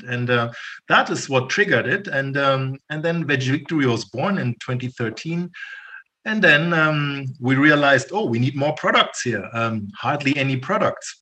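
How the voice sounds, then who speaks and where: This man from northern Germany speaks with a bright, forceful tone. His pitch sits at a middling level, and he answers casually and at length, often pausing on ums and uhs. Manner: relaxed, rambling, many ums and uhs. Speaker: podcast guest, entrepreneur.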